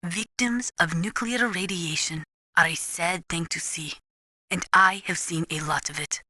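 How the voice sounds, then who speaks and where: A woman playing an earnest, resolute Ukrainian weapons analyst in a video game, speaking gravely and deliberately as if lecturing. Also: lowish voice, bright, forceful tone, no room sound. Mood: somber, resolute. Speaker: military advisor briefing a soldier. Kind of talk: dramatic video game voice acting.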